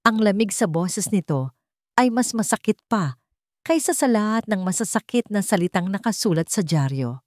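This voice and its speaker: A woman narrates a scripted dramatic story in a measured delivery, pausing between phrases for effect. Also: medium pitch, animated, no room sound, studio quality.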